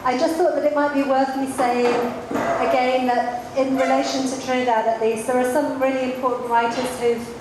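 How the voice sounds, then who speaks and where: A woman with a moderately high voice hosts a literary event in an informal way. Her words ring heavily in a big echoing room, with slight noise in the background. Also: little pitch movement, moderate pace. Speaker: event host. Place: large echoey room at a public event. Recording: very echoey hall, faint background noise.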